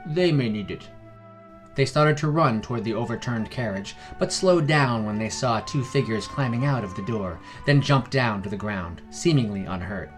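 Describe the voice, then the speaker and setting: A man's voice: mid-range voice, expressive, normal speed, few pauses, faint music behind. A man reading a scripted fantasy story as its narrator.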